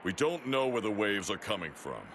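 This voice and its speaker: An actor playing a stern alien warrior mentor in an anime video game, firm and dryly sardonic. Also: male voice, fairly low pitch, very animated, normal speed, a bit bright. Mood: measured. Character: stern, dry, deep-voiced male alien warrior mentor. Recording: noisy outdoor-like ambience behind.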